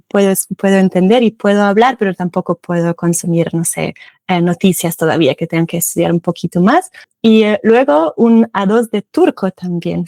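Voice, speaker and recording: A woman's voice: medium pitch, darkish tone, dry recording. German woman chatting with laughs. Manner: chatty, laughs often, self-correcting. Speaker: guest. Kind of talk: casual interview chat.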